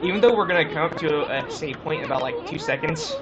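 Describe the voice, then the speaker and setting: A woman's voice: low-pitched, animated, quick pace, crowd noise, noisy background. A woman co-hosting a let's play, giving casual gameplay commentary in a hesitant, conversational way.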